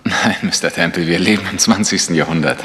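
An actor playing a formal, curt village vicar in a German radio mystery. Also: male voice, low-pitched, a little fast, a bit bright. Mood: curt, dismissive. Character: brisk, dismissive village vicar. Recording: long church-like reverb.

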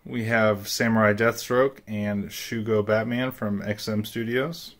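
Brief: man with a lowish voice, relaxed collector walkthrough, matter-of-fact, lots of "uh"s. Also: unhurried. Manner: plain, matter-of-fact, with frequent "uh" fillers. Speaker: statue collector giving a room tour. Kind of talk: casual voice-over room tour.

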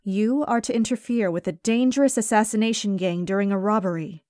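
A woman playing a stern, no-nonsense spy agency boss in an animated web series, firm and exasperated. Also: mid-range voice, a little fast, dry recording, clean studio sound, close to an everyday voice. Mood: businesslike. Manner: firm, exasperated, clipped orders.

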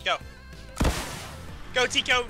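A man's voice: slow pace, music in the background. A young man chatting casually as an online teammate, with a slangy, encouraging way of talking. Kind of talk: casual game chat.

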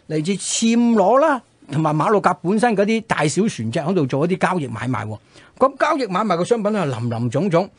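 A male radio co-host with a Hong Kong Cantonese accent recounts history in a conversational way. His voice sits a little high and is husky, and he talks rapidly. The sound is dry and close. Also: dry recording.

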